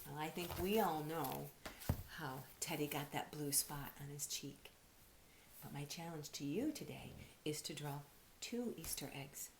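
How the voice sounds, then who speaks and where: Female storyteller for young children, lowish voice, expressive read-aloud delivery, audible hiss. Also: measured pace.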